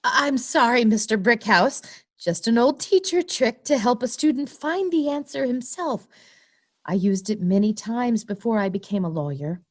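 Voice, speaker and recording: A woman's voice: a little high, expressive, moderate pace. An actress playing a prim, earnest young woman in a comic noir play, speaking precisely and insistently like a schoolteacher. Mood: earnest, worried. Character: prim, earnest young woman client, a former teacher turned lawyer.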